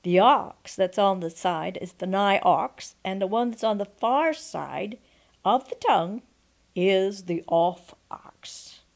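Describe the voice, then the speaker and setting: A woman in her sixties reading a folksy memoir aloud as a storyteller, expressive and deliberate, with pauses. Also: medium pitch, vocal fry. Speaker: storyteller and audiobook narrator. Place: quiet room at home, indoors. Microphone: microphone on a stand before her, seated.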